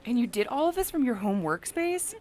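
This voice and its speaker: A woman's voice: fairly high pitch, very animated, brisk, darkish tone. A woman playing an intelligent, curious, coy engineer in an audio drama, articulate and well-spoken. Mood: fascinated.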